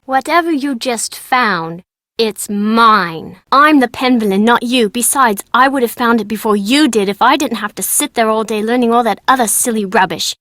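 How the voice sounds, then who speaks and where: Woman as a bratty, high-voiced young girl. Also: moderate pace, a bit bright, no room sound, close to an everyday voice. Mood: indignant. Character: bratty, know-it-all young girl of an old English family. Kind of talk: video game character dialogue.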